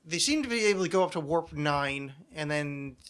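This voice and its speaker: A man with a fairly high, very bright and forceful voice hosts a casual podcast. He talks like an opinionated nerd on a rant, swearing freely as he argues his points. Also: animated, normal speed, smooth. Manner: ranting, profane, nerdy. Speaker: podcast host.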